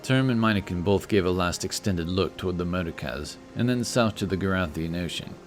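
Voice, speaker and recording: Deep-voiced man narrating over music. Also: fairly quick. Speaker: audiobook narrator. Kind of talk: scripted fantasy story narration.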